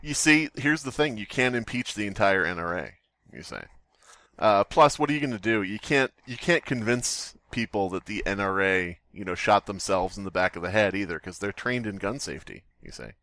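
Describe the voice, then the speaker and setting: Male comedy podcast co-host, lively swinging delivery, dry close recording, sweary riffing. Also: fairly low pitch, moderate pace, no room sound. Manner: sweary, joking. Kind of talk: casual comedic banter about horror stories read aloud.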